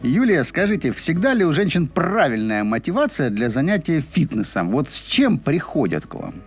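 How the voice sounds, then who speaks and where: A man's voice: a little high, sing-song, normal speed, breathy edge. A man co-hosting a morning radio show, chatting casually and joking with his co-host and guest. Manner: joking, chatty, laughs easily. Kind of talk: casual on-air banter. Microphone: studio microphone.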